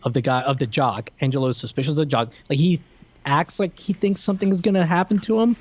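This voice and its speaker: A man co-hosting a podcast, retelling and picking at films in an animated, casual chat. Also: a little high, quick pace, dry recording. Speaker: podcast co-host. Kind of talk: casual movie discussion chat. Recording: slightly dull, lo-fi voice track.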